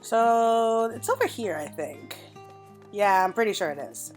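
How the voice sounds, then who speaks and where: A woman's voice: medium pitch, lively intonation, a little slow, a little mellow. A woman giving casual let's-play commentary, chatting and musing aloud to her viewers as the host. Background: game music.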